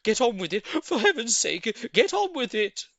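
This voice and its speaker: A reader voicing a frantic, bossy male monkey father who shouts orders impatiently. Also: medium pitch, wildly swooping pitch, slow pace, dry recording, voice pushed up, full character voice. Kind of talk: storybook character voice.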